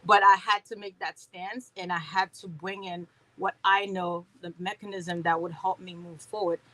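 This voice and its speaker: A woman's voice: lowish voice, fairly even intonation, moderate pace, bright, forceful tone, rough recording. A woman from Haiti speaking at a conference, chatty and sprinkled with "right?" and fillers. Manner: conversational, many fillers and "right?" tags. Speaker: conference speaker, company founder. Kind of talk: motivational conference talk.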